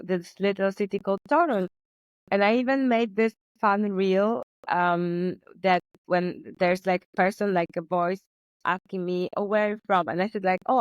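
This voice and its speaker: A young woman, speaking in a lowish voice as a guest on a podcast. She talks earnestly and fills her sentences with lots of "like" and "um". The sound is dry and a little muffled. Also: dry recording. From Poland. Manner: earnest, with frequent fillers. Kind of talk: conversational interview.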